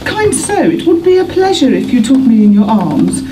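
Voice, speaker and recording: Woman playing a lively, swooning pantomime Cinderella. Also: a little high, normal speed. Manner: theatrical rhyming delivery. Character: swooning, smitten young Cinderella. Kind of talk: amateur Christmas pantomime acting. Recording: distant live stage, loud music.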